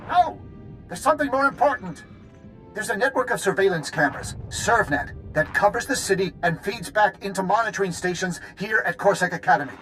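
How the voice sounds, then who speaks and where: A man's voice: sits high, animated, fairly quick, fairly bright tone, low-quality recording. A man playing an embattled, loyal squad leader in a video game, speaking in an emphatic military manner. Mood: urgent, exasperated. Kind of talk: cinematic video game voice acting. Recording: music behind the voice.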